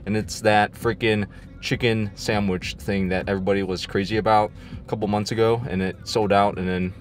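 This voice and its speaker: A young man in his twenties, for whom English is not his first language, talking casually to camera in a vlog, excitable and slangy, often saying "dude" and "freaking". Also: lowish voice, normal speed. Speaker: vlogger. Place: car, then kitchen, then living room, indoors.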